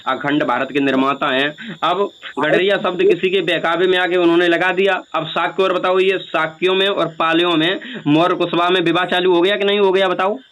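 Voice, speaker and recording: A man speaks on a recorded phone call in a fairly high voice. He argues insistently, explaining like a lecturer and firing rhetorical questions to press his point. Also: fairly even intonation, fairly quick, fairly bright tone. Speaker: speaker who performs religious stories on stage, on a call. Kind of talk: argumentative phone conversation.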